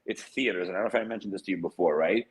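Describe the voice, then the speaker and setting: A man's voice: deep voice, racing, husky. A man talking as a guest in a casual chat over a video call, rambling and asking "right?" again and again. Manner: rambling, asks "right?" often.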